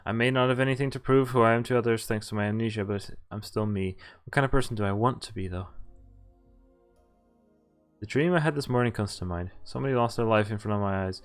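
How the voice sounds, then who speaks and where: A man with a fairly low voice reads visual novel text aloud as a streamer. He sometimes trips on words and goes back over them. Music plays behind him. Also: quick pace, clear, resonant tone. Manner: stumbles over words and rereads. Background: game music.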